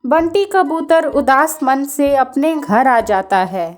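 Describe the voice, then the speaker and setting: A woman reads a scripted story for children. Her voice is notably high and her pacing slow. Faint music accompanies her. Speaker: narrator.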